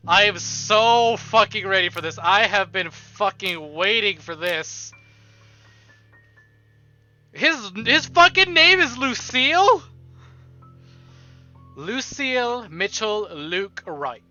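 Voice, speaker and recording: A man streaming a visual novel, reading text aloud and commenting with free swearing in an animated manner. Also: sits high, dull audio. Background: game music.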